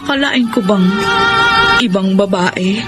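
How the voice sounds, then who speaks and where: A woman playing a betrayed, still devoted lover narrates her sorrow with a Filipino accent. It is dramatic radio letter-reading, with loud music underneath. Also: medium pitch, unhurried, natural voice. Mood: bitter sorrow. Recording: loud music bed behind the voice.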